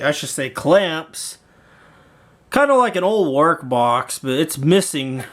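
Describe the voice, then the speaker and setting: A man talking informally in a hands-on product demo vlog, rambling a little and correcting himself as he goes. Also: on the high side. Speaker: video maker reviewing audio gear.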